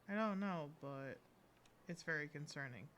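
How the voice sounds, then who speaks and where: A woman's voice: sits low, expressive, normal speed, muffled recording, heavy background noise. A woman game streamer giving casual live commentary as she plays. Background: game music.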